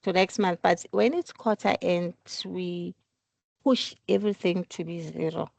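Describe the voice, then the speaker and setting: Woman with a very creaky voice. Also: fairly low pitch, a little slow, a little mellow, no echo. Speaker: employee explaining her accounting work. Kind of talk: work meeting, explaining procedures step by step. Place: recorded online work meeting.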